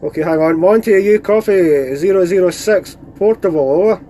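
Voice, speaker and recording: A man's voice: high voice, fairly quick, dark tone, slight background noise. A man with a Scottish accent chatting casually over CB radio in informal operator phrases, speaking from inside a van. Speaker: radio net operator filming a live stream. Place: inside a parked van outdoors in the countryside.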